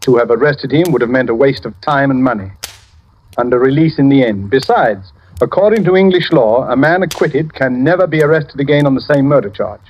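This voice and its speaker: An actor playing a self-satisfied, shrewd detective with a measured, theatrical manner in an old radio drama. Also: male voice, medium pitch, lively intonation, dull audio, lightly acted. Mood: assured, precise. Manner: pompous, measured, assured. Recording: noisy old radio recording with clicks.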